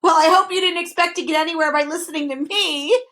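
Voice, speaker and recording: A woman's voice: high-pitched, expressive, measured pace, sharp tone, voice pushed up, exaggerated voice. A woman voicing a gleeful, insincere male monster who gives bad advice in a storybook reading, calling out in a raised voice. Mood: gleeful boasting.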